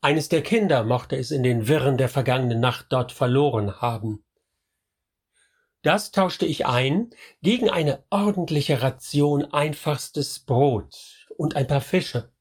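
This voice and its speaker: A man's voice: medium pitch, slightly rough. An actor playing a pious medieval man, solemnly recounting his hard journey in a radio drama. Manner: solemn, measured storytelling.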